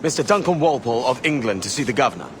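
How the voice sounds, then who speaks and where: A man playing a roguish young pirate who poses as a gentleman in a video game, breezy and persuasive. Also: medium pitch, lively intonation, racing, lightly acted. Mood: breezy, assured. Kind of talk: video game dialogue acting.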